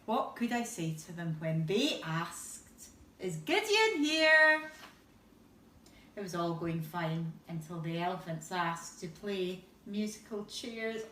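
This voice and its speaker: A woman's voice: on the low side, a little slow, hardly any pauses, a little hiss or hum. A woman, a teacher, reads a picture book to young children expressively, drawing out words and adding little asides. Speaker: teacher reading a bedtime story. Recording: roomy echo, distant microphone.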